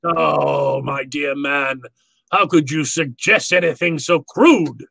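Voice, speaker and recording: A pompous gentleman crime lord from a 1940s-style radio play. His voice is bright and sharp, set slightly high, and very dry and close. He speaks in wordy, mock-courtly phrases with smooth menace underneath. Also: takes it slowly, no echo, full character voice. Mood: smug, mock-courtly. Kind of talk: 1940s radio drama acting.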